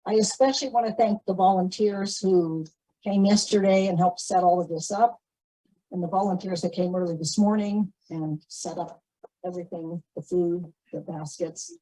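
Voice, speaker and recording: Woman giving event announcements, darker tone, bit low, dry sound. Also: steady tone, normal speed, dark tone, no room sound, rough recording. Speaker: event organizer and volunteer. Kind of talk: informal announcements to a gathering.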